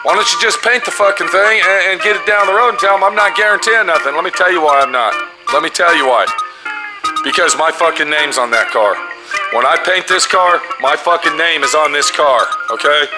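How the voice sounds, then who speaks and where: A man in his fifties with a raspy voice, ranting and swearing nonstop as he shows work in his auto body garage. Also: fairly high pitch, a little fast, over loud music, poor audio. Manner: heavy swearing, pissed-off ranting. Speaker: custom auto body shop owner. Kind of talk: angry workshop vlog. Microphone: camera held close at arm's length.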